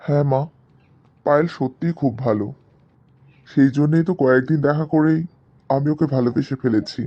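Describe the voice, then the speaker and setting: A man's voice: on the high side, normal speed, natural voice. A man playing an earnest, dutiful young man in love in a cartoon story, explaining himself, with muffled sound.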